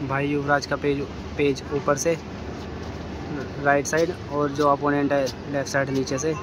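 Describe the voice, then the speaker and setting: A man's voice: fairly high pitch, normal speed. A young man giving live commentary on a kite fight from a city rooftop, filming on his phone. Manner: steady running commentary, flat delivery. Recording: outdoor phone recording with street noise.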